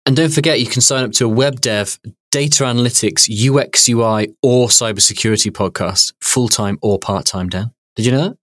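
A man's voice: medium pitch, fairly quick, fairly bright tone, no room sound, clean studio sound. A man co-hosting a podcast, joking around and speaking with many fillers. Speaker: podcast co-host. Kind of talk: casual podcast banter.

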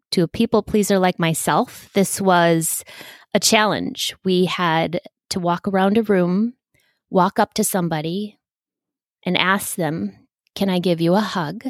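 A woman's voice: on the low side, dry recording, studio quality. A woman hosting a podcast, speaking personally to listeners and putting questions to a guest. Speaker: podcast host. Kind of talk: personal podcast introduction and interviewing.

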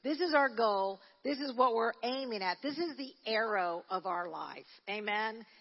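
A woman's voice: fairly high pitch, lively intonation, normal speed, slightly rough, lo-fi sound. Woman preaching in an echoing church hall. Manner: conversational, with frequent restarts, rising to fervent preaching. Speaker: church minister leading a prayer service. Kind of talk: Pentecostal sermon. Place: church sanctuary.

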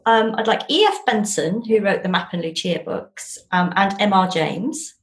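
An echoey, roomy recording of a woman. She chats casually as an interviewed novelist, spinning anecdotes in an animated way at a middling pitch. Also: moderate pace, a little hiss or hum.

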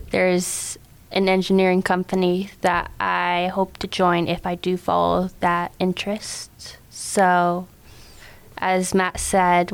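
A woman's voice: on the low side, vocal fry, clear, resonant tone. A teenage girl answering as a panelist in a casual discussion. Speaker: teen book club panelist.

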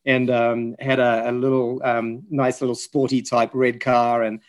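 A man's voice: mid-range voice, little pitch movement, a little slow. Older man, South African-New Zealand accent. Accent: mixed South African and New Zealand. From South Africa, later New Zealand. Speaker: podcast guest, physician and chaplain. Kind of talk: reflective personal storytelling.

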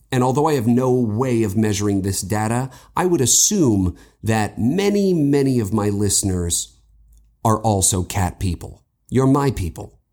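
A man's voice: on the low side, a little mellow. A man hosting a podcast, introducing a horror story in a conversational style. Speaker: podcast host.

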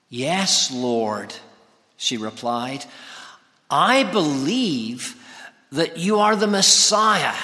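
A man preaching an expository sermon, speaking with emphatic, deliberate phrasing. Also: mid-range voice, pushed and bright. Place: large reverberant church or hall. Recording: hall reverb.

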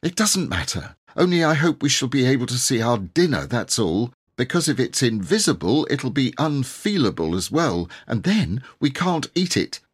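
A man's voice: mid-range voice, sing-song, moderate pace, hardly any pauses, breathy edge, pitched above the performer's own voice. A man voicing a hungry, fretful little girl who complains in long, breathless run-on sentences for a storybook reading.

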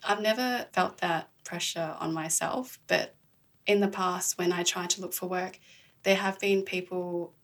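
A woman's voice: lowish voice, vocal fry. A young adult woman from Australia, a podcast host being interviewed, speaking in an earnest, reflective, casual way.

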